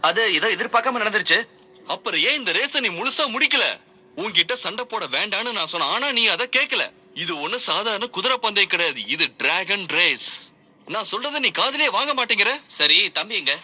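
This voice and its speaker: A man's voice: high-pitched, animated, a little fast, heavily acted. An actor playing an earnest, exasperated young male dragon racer in a dubbed cartoon. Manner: questioning, exasperated. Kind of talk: Tamil cartoon dub acting. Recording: loud music behind the voice.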